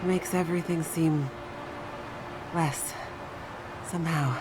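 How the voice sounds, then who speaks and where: A woman's voice: deep voice, fairly even intonation, slow pace, background noise. A woman playing a weary, earnest young woman scientist in a video game cutscene, hesitant in her phrasing. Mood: wistful. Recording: music behind the voice.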